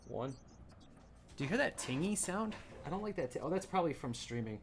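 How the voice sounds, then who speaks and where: A man livestreaming, quietly muttering to himself in casual chatter while he fiddles with his sound levels. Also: on the high side, sing-song, brisk. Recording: noisy stream audio with train sounds. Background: train ambience.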